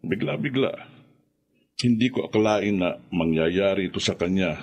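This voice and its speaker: An actor playing a concerned, sorrowful middle-aged father in a Filipino radio horror drama, speaking gravely. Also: deep voice, moderate pace. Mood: sorrowful, consoling.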